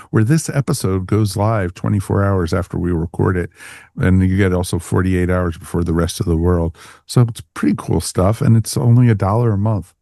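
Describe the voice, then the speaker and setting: A man's voice: fairly low pitch, gravelly, darkish tone, no room sound, studio quality. A man hosting a podcast, chatting casually and informally with co-hosts and listeners. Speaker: podcast host. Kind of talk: casual podcast sign-off chat.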